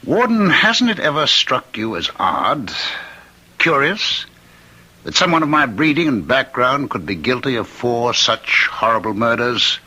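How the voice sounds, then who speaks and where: An actor in a radio drama playing a cultured, eerily courteous condemned murderer who was once a professor, speaking in deliberate, theatrical phrases. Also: male voice, medium pitch, big pitch swings, bright, forceful tone, breathy edge, heavily acted, rough recording. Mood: sardonic, insistent.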